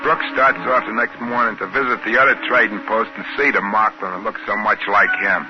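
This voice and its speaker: An actor playing a plain, folksy trading-post worker who also narrates a 1950s science fiction radio drama. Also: male voice, lowish voice, fairly even intonation, a little fast, close to an everyday voice. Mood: wry storytelling. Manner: folksy, colloquial. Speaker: narrator and character. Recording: muffled old radio broadcast with music.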